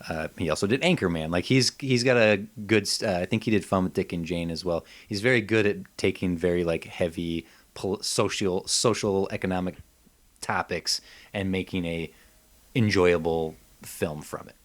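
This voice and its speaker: A man's voice: low-pitched, moderate pace. A man co-hosting a podcast, bantering and commenting in a casual, conversational style. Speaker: podcast co-host.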